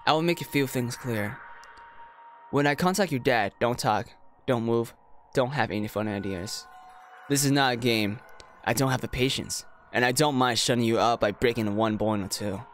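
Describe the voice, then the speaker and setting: A man's voice: medium pitch, normal speed, a little hiss or hum, lightly acted. A man voicing a cold, impatient woman kidnapper of about thirty from a visual novel, curt and menacing. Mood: cold threatening. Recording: music behind the voice.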